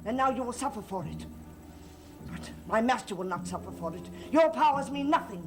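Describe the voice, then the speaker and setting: An actress playing a stern, menacing servant who guards a master's occult secrets in a gothic soap opera, severe and accusing. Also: female voice, a little high, expressive, fairly quick, darkish tone, close to an everyday voice.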